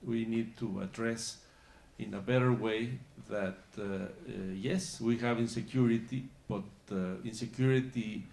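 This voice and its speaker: Gravelly-voiced Mexican panelist, hesitant delivery, echoey conference room. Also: male voice, on the low side, steady tone, darkish tone. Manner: halting, many uh fillers and restarts. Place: conference hall. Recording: roomy conference hall.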